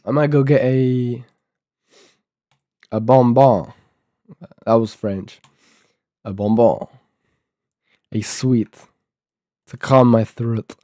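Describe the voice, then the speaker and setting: An eighteen-year-old man live-streaming his coding, muttering and thinking aloud as he reads documentation. His voice is mellow and a little rough from a sore throat. It sounds dry and close, with keyboard clicks under it. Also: medium pitch, steady tone, drawn-out words, long pauses, no room sound. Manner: mumbling, thinking aloud, reading docs half to himself. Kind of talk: casual live-stream talk while coding.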